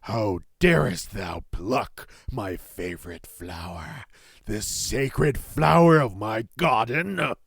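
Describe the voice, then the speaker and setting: A man's voice: lowish voice, lively intonation, slow pace, vocal fry, slightly rough, no echo, clean studio sound, pitched below the performer's own voice, full character voice. A man voicing a savage, hairy monster lord in a fairy tale, roaring in grand, archaic, menacing speech. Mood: outraged.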